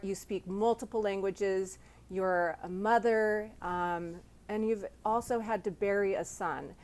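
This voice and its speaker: Female host for a sit-down interview. Effusive, supportive, medium pitch. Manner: effusive, complimentary, empathetic.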